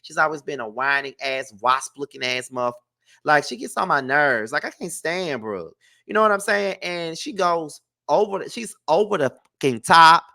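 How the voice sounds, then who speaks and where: A man's voice: fairly high pitch, moderate pace, gravelly, sharp tone. A congested man giving casual, blunt and sassy gossip commentary as a vlog host, with emphatic, profane delivery. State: congested with a cold. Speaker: entertainment gossip vlogger and host. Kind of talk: casual opinionated reality TV commentary.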